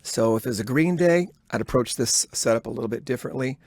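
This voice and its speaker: A man's voice: medium pitch, quick pace, a little mellow, no echo. Dry, close-sounding man talking through trades. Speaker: day trader recording a trading journal. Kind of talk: live trade commentary, thinking aloud.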